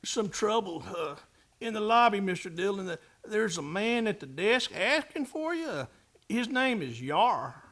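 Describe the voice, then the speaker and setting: An actor playing a flustered, deferential sidekick who stammers and hesitates in a western radio play. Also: male voice, sits high, very animated, measured pace, fairly bright tone, exaggerated voice.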